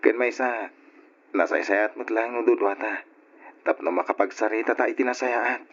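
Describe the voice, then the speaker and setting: A man's voice: lowish voice, moderate pace, lightly acted. A man with an Ilocano accent acting a friendly, eager young student in an old radio drama. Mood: friendly, coaxing. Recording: muffled old radio recording.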